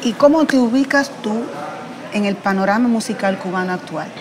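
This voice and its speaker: A woman's voice: mid-range voice, normal speed, crowd noise. Woman interviewer asking brief questions.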